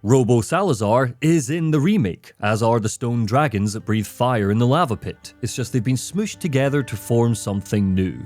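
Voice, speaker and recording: A man's voice: medium pitch, animated, moderate pace, few pauses, a little mellow, soft background music. A man giving scripted video essay narration in a wry, opinionated way.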